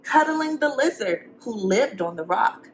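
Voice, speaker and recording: A woman's voice: a little high, expressive, a little slow, a little hiss or hum, rough recording. A woman teacher reading a storybook aloud to young students, expressively, with simple encouraging questions and asides for the children.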